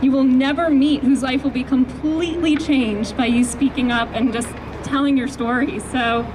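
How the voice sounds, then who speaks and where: A soft-voiced, high-pitched woman gives an earnest rally speech outdoors on a city street. She keeps the microphone close to her mouth and talks with small fillers and restarts. Steady street noise runs underneath her. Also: moderate pace. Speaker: activist speaker at a rally. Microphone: handheld microphone held close. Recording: noisy outdoor street recording. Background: traffic and street noise.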